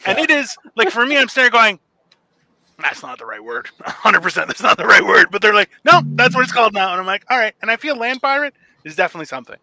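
A man's voice: high voice, very animated, quick pace, gravelly, sharp tone, no room sound. A man in a casual podcast chat, joking and acting out other people's voices. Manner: joking, acts out quoted voices, laughs often. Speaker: podcast co-host.

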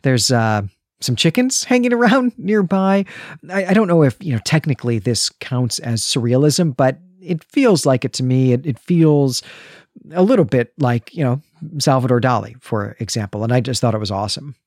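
A man's voice: medium pitch, expressive, moderate pace, a little mellow, no echo, clean studio sound. A man co-hosting a podcast, chatting casually in an enthusiastic, conversational way. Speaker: podcast co-host.

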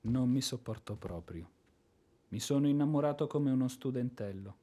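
An actor playing a hot-headed, lovestruck ex-army officer in a theatrical radio drama, bombastic and impulsive. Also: male voice, medium pitch, lively intonation, moderate pace, mellow, lo-fi sound, close to an everyday voice. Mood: self-reproaching. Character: blustering, hot-headed ex-artillery officer, helplessly smitten.

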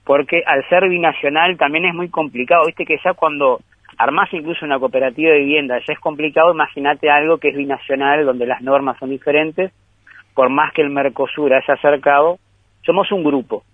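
A man's voice: a little high, fairly even intonation, brisk, no echo. A man, a guest filmmaker, talking through his group's work in a rambling, thoughtful way with many restarts. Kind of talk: conversational interview over the phone. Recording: tinny phone line.

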